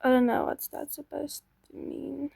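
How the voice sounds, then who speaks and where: High, gravelly young woman gaming. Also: flat delivery, moderate pace, darkish tone, no room sound, clean studio sound. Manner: chatty, self-doubting, talks to herself while playing. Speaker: gaming channel host. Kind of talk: casual gameplay commentary.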